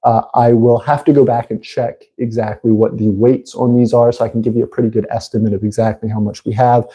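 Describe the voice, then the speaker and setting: A man with a congested voice, hosting a casual livestream and talking haltingly with many fillers. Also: mid-range voice, little pitch movement, mellow, breathy edge, rough recording. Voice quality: congested, nasal. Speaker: livestream host. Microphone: phone.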